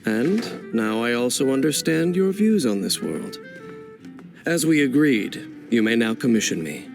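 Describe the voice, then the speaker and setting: An actor playing a solemn, enigmatic wandering swordsman in a fantasy game, speaking in a grave, measured, cryptic way. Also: mid-range voice, big pitch swings, gravelly, faint music behind. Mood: serious, matter-of-fact. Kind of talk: scripted fantasy game voice acting.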